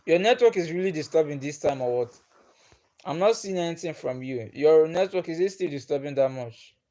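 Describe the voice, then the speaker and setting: A man's voice: fairly high pitch, expressive, brisk, dark tone. Man chatting on a livestream. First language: Igbo. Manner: patient, repeats himself, chuckles often. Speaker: livestream host. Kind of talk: casual livestream chat reading comments.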